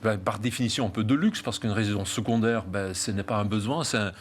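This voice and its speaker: A man's voice: on the low side, fast, a little hiss or hum. A middle-aged man from Flanders with a Flemish Belgian accent, being interviewed about his property business and speaking with many hesitations and restarts. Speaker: real estate company founder. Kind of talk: interview answers.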